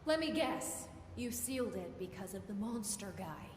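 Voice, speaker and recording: An actress playing a cool, wry young game heroine with a dry, sardonic way of talking. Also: female voice, medium pitch, animated, moderate pace, darkish tone, natural voice. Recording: echoey large hall, faint noise.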